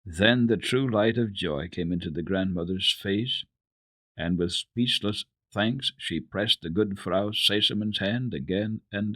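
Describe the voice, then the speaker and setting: A man with a fairly low voice reads a children's story for radio. His dramatic narration is deliberate and very animated in pitch, recorded dry and close. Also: no echo. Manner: expressive, deliberate. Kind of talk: dramatic reading of children's literature.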